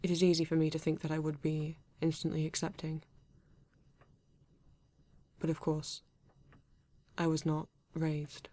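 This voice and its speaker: A woman's voice: sits low, completely flat delivery, dark tone, dry recording. A woman playing a male android police detective with a British accent, formal, precise and proud yet earnest, in an ASMR roleplay. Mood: thoughtful, reserved. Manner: formal, precise, measured, avoids contractions.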